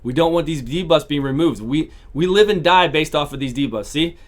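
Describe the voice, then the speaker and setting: A man casually commentating his own gameplay, talking through each battle and repeating his phrases, his voice starting to go hoarse. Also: a little high, fairly quick. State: voice going hoarse. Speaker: gaming channel host.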